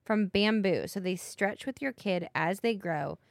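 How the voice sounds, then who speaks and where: An enthusiastic woman co-host reads an ad and chats with guests on a dry, close mic. She keeps a medium pitch and an upbeat, friendly drive. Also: unhurried, vocal fry, no echo. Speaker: podcast co-host. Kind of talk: casual podcast chat and host-read ad.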